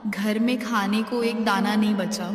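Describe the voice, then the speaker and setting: A woman acts out a poor cartoon farmer's wife, teasing and quick to complain. Her mid-range voice sits back from the mic in long reverb, with faint music behind it. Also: medium pitch, fairly even intonation, distant mic, lightly acted. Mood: frustrated. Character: sharp-tongued, struggling poor village farmer's wife. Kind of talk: Hindi cartoon moral story dialogue.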